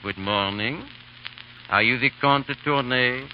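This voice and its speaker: An actor playing a mild, evasive old priest with an understated, hesitant manner, in 1930s radio drama style. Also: male voice, mid-range voice, measured pace, lightly acted. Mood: guarded. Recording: muffled, noisy old 1930s radio broadcast.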